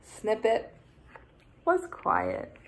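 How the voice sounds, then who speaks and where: Young lady teacher doing storytime, highish voice, takes her time, really expressive. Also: poor audio. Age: young adult. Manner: deliberate, animated storytelling for small children. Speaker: teacher reading a children's story. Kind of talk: expressive picture-book read-aloud. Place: small room indoors.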